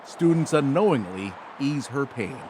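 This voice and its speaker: A man's voice: medium pitch, lively intonation, normal speed, a little mellow, a little hiss or hum. Male news reporter, scripted feature narration, mid-range voice, faint background ambience.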